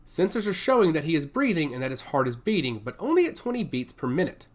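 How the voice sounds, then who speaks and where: Male space-age soldier acted by a narrator: cocky, wry, blunt, lively melodic delivery. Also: medium pitch, fast talker. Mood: alert, matter-of-fact.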